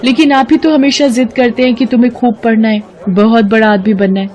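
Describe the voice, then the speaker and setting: A woman's voice: on the high side, steady tone, a little fast, darkish tone, a faint crowd, voice pushed up, lightly acted. A woman voicing an earnest, troubled young female student in a story, speaking emotively. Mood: tearful pleading. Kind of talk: dramatized story dialogue.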